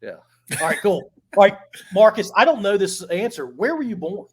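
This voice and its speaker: A man hosting a casual podcast with a slightly raised pitch. He teases his guest, laughs along and asks brief questions. His talk is friendly and informal. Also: big pitch swings, moderate pace, slightly rough. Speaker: podcast host. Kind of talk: casual interview chat.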